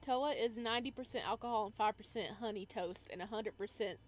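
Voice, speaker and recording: A woman's voice: on the high side, fairly quick. A woman playing through a visual novel, reading narration aloud and breaking in with casual, playful, teasing commentary. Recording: noticeable background noise.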